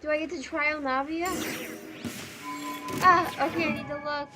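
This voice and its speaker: A woman's voice: high voice, fairly even intonation, moderate pace. A woman streaming a game with casual live commentary, playful and blunt, often muttering to herself. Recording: game music behind the voice. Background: game music.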